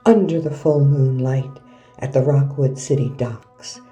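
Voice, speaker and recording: A man's voice: medium pitch, a little slow, mellow, close to an everyday voice. A man acting as the dramatic narrator of a superhero audio drama, reading scripted narration. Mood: dramatic, solemn. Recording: faint music behind the voice.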